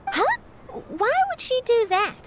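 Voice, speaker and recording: A woman's voice: extremely high pitch, takes it slowly, heavy background noise, heavily acted. A woman voicing an earnest, easily flustered young cat-girl courier in an anime game. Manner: expressive, polite, easily flustered. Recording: game music behind the voice.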